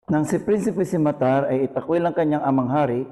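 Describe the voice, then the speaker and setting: A man's voice: on the high side, fairly quick, dark tone, long reverb tail. A man with a Filipino accent giving scripted educational narration as the host.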